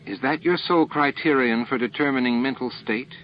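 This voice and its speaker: A man's voice: medium pitch, a little fast, lo-fi sound, slight background noise, pitched above the performer's own voice, close to an everyday voice. A man voicing a composed female alien officer in an audiobook, mild and questioning. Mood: mildly challenging.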